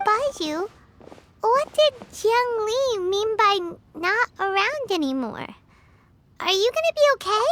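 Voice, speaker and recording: Squeaky, animated little creature. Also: female voice, slow, slight background noise, heavily acted. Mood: worried, confused. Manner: blunt, animated. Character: small ancient talking creature, cheeky contract-bound companion. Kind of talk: video game character acting.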